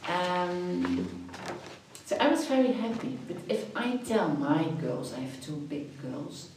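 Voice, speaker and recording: A woman's voice: on the low side, sing-song, slow, heavy reverb, far from the mic, noisy background. A Dutch woman in her fifties, chatty, answering interview questions and often restarting her phrases. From Netherlands. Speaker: after-school activities coordinator.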